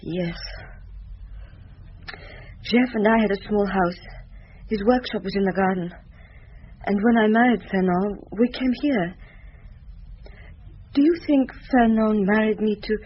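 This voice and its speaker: Sorrowful young wife, muffled radio sound. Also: female voice, medium pitch, slightly rough. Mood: sorrowful, bitter. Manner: halting, sparse confiding phrases. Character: grieving, subdued wartime refugee woman, now married. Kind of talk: radio drama acting. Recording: muffled, hissy old radio broadcast.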